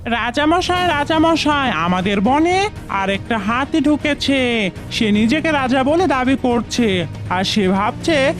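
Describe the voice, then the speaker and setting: A sly cartoon fox, acted by a woman, high and very animated. The delivery is wheedling, theatrical and boastful, taken at an unhurried pace. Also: soft background music. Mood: urgent. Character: sly, scheming, flattering fox. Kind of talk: cartoon fable voice acting.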